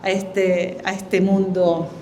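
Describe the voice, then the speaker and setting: Middle-aged Chilean woman, director opening an event, halting informal address, reverberant hall with faint noise. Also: mid-range voice, flat delivery, darkish tone. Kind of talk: informal public welcome speech. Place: indoor exhibition event in a library.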